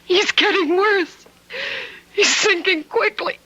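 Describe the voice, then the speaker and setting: A devoted, fretful wife in a vintage radio drama. Her voice is high and bright, and she speaks slowly, halting with emotion. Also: lo-fi sound, exaggerated voice. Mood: distraught, pleading. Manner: emotional, halting, drawn-out delivery. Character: anxious devoted wife, fraught and pleading. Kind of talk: old-time radio drama acting.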